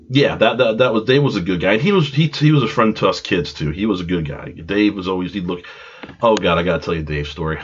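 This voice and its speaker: A man's voice: lowish voice, a bit bright, slightly rough. Stuffed-up man rambling through a story. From Long Island, New York. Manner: rambling, self-deprecating, goes off on tangents. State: congested from a cold. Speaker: podcast host. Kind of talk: casual storytelling monologue. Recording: dull lo-fi home recording.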